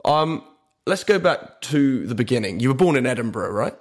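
Young male podcast host, mid-pitched voice. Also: animated, normal speed, no echo, studio quality. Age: young adult. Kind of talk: podcast introduction and interview.

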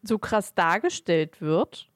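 A woman's voice: lowish voice, expressive, takes it slowly, no room sound, clean studio sound. A woman co-hosting a podcast, talking casually about films. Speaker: podcast co-host.

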